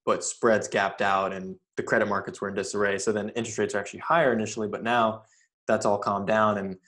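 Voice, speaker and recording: A man hosting an interview, asking questions and adding market commentary in a measured, analytical way. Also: on the low side, little pitch movement, a bit bright. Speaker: interview host, real estate investor.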